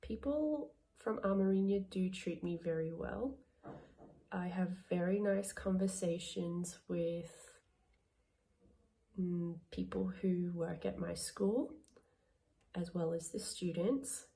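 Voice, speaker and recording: A woman working as an English language assistant, answering interview questions slowly and carefully. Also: lowish voice, creaky, warm tone. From Australia.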